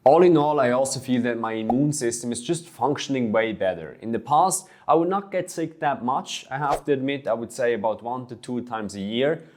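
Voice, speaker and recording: A man's voice: medium pitch, expressive, normal speed, few pauses, darkish tone. A young man from Switzerland, a fitness coach, talking earnestly about his own experience in a vlog, with small restarts as he goes. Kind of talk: personal vlog monologue.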